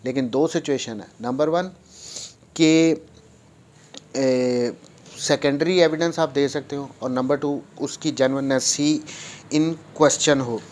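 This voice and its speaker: A male lecturer with a Pakistani accent and a mid-pitched voice. He explains in a didactic way, repeating key points with emphasis and moving between his own language and English technical terms. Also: steady tone, darkish tone. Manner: didactic, emphatic repetition, reading clauses out deliberately. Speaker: law lecturer.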